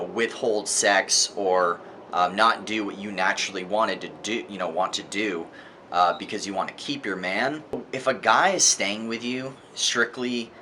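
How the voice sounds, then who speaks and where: Male vlogger, fairly low pitch, bright forceful edge; emphatic, conversational opinion delivery over faint background noise. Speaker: book reviewer, vlogger. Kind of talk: informal opinionated book review monologue.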